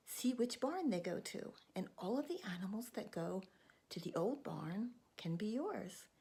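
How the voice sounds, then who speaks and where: A woman plays a silly, excitable farmer brother in a folk tale. He talks higher than her own voice, often in an eager, breathy half-whisper. The tone stays husky and a little gravelly.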